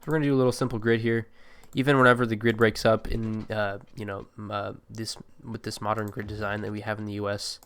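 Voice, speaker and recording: A man talks through his game in a smooth, fairly low voice that sounds a little stuffy from allergies. He rambles casually, correcting himself and filling pauses with "uh". Keyboard typing comes through faintly behind him. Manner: relaxed, rambling, many fillers and self-corrections. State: slightly congested from allergies. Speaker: gameplay video creator. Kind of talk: casual gameplay commentary.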